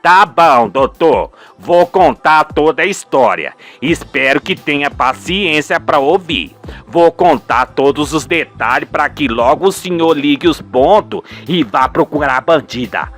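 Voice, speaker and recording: A man's voice: fairly high pitch, expressive, measured pace, fairly bright tone, soft background music, pitched below the performer's own voice. A man voicing an indignant old cheesemaker, folksy and emphatic, in a radio soap opera. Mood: accusing, indignant.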